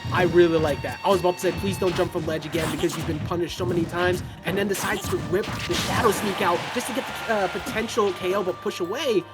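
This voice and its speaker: Excitable esports caster with game music. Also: fast talker, hardly any pauses. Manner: voice turns squeaky when hyped. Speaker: esports commentator. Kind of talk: live esports commentary.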